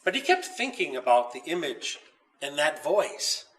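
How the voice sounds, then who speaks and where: Animated, bright-voiced male storyteller. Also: a little high, unhurried, distant mic. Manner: expressive, dramatic storytelling. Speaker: author reading own story. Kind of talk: children's story narration.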